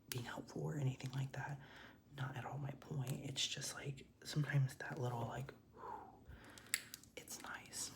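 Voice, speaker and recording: A young transgender man whispering a casual, rambling storytime while doing makeup, with lots of "like"s and casual swearing. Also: medium pitch. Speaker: vlogger.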